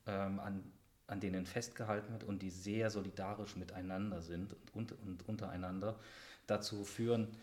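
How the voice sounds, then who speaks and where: Deliberate man with a lowish voice. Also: little pitch movement, darkish tone. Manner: deliberate, halting, formal word choice. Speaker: interview guest, bookshop owner. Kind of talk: reflective interview talk.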